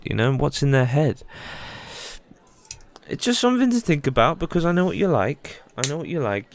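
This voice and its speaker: A man with a clear, smooth voice at an ordinary middle pitch. He talks in a loose, rambling way, candid and full of swearing, as if giving a friend his honest take one-on-one. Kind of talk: casual one-sided monologue to a friend.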